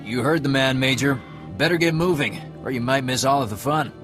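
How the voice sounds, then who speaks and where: An actor playing a chatty, loyal soldier in a video game, with a breezy, talkative manner. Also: male voice, medium pitch, brisk, natural voice. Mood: wry. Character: chatty, loyal male soldier devoted to his commander. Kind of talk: video game dramatic dialogue. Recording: very loud music underneath.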